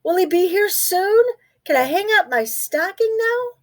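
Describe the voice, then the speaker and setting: A woman voicing a small, frightened young boy mouse from a children's storybook, with squeaky, panicky, wailing exclamations. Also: sing-song, unhurried, heavily acted. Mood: eager.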